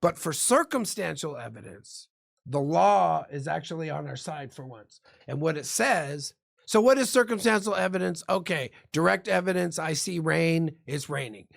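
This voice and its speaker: A man's voice: a little high, expressive, normal speed, vocal fry. A man who works as a defense lawyer, explaining the law like a lecturer in profane street slang. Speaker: guest criminal defense lawyer.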